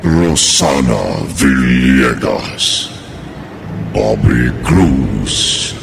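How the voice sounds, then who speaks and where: A man's voice: very deep voice, wildly swooping pitch, vocal fry. A man with a Filipino accent giving ominous, dramatic scripted narration for a horror radio drama, drawing out his words. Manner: dramatic, drawn-out delivery. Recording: echoey studio sound with music.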